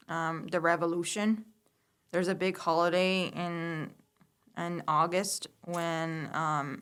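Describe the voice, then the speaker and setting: Hesitant woman with a smooth voice. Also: lowish voice, a bit bright. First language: Hungarian. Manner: hesitant, many ums, short answers. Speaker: podcast guest. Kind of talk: casual interview.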